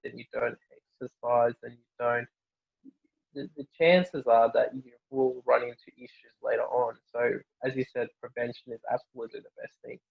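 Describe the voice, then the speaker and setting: A young man from Norway, Norwegian native, a family doctor answering interview questions in a relaxed way with many fillers and restarts. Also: medium pitch, normal speed, vocal fry, breathy edge, phone-quality sound.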